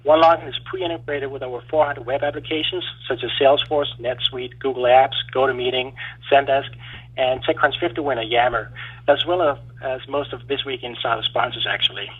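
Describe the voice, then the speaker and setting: A man from Denmark, a startup founder, calling in and delivering a rehearsed sales pitch in a steady, read-through way. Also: brisk, breathy edge. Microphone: phone call. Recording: tinny phone line.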